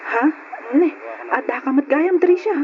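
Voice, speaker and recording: Coy studious college girl, kinda high voice, ilocano accent, old radio drama, muffled lo-fi sound. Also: animated, a little slow. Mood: smitten, eager. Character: Dayan, studious coy smitten female college student.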